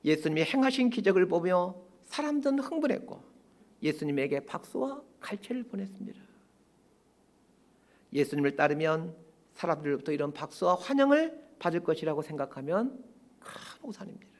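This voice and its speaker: A man in his fifties preaching a sermon as a pastor, in measured phrases with pauses and emphatic stresses. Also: sits high, very animated. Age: 50s. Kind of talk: sermon, prepared and reflective.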